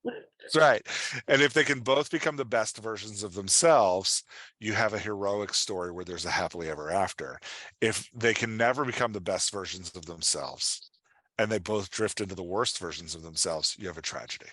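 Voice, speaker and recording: Man, writing coach, low bright voice, encouraging, conversational explanations, dry close sound. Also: normal speed, no echo. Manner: encouraging, explanatory, repeats phrases for emphasis. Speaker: writing coach answering audience questions. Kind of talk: live online teaching, conversational.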